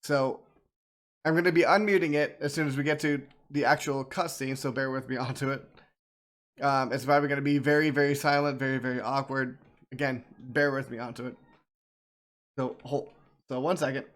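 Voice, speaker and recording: A man's voice: mid-range voice, steady tone, a little fast. A man hosting a gameplay stream, chatting casually to viewers in a rambling, repetitive way. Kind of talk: casual chat to viewers, reacting to game cutscenes.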